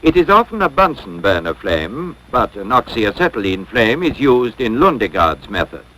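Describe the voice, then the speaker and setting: A man narrating a 1950s educational film from a script in a measured, formal, instructional way. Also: medium pitch, big pitch swings, a bit bright, poor audio.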